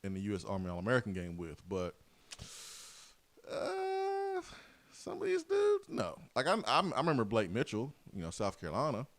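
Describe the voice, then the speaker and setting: Sports radio host with a strained voice. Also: male voice, medium pitch, wildly swooping pitch, moderate pace, dry recording. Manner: loose, rambling, many fillers. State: weak, strained voice. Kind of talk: casual radio talk and ad reads.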